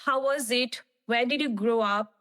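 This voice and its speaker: Lady podcast host, bit high voice, earnest, sounds like a roomy echoey space. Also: measured pace, fairly bright tone. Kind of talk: scripted intro then interview questions.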